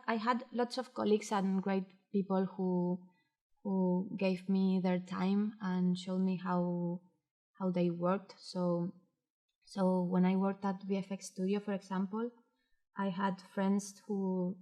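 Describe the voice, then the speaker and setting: A woman with a Spanish accent speaks slowly and reflectively in an interview. Her voice is soft-edged and mellow, staying fairly even in pitch. She often pauses and restarts as she looks for the right word. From Spain. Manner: hesitant, searching for words. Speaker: interviewee, animation director and animator.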